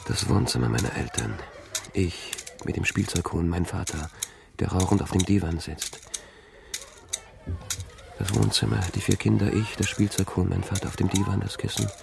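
Haunted middle-aged man, very creaky low voice, introspective, fragmentary radio drama monologue. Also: noisy background. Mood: brooding, haunted. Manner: slow, fragmentary, introspective recitation.

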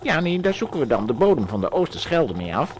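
A man's voice: fairly high pitch, very animated, quick pace, slight background noise, low-quality recording. A man playing a sceptical, patronising engineer with a hesitant, dismissive manner in a children's audio drama.

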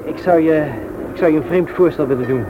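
An actor in a radio play playing a hesitant but eager male suitor with a halting manner. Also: a little high, close to an everyday voice. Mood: awkwardly eager. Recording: dull, noisy old radio recording.

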